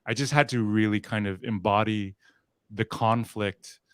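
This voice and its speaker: A man on a panel thinks aloud about his acting work, hedging with fillers as he goes. His voice sits fairly low. The sound is close and dry. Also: fairly even intonation, measured pace, fairly bright tone, no room sound. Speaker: actor on a panel. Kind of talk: casual panel discussion.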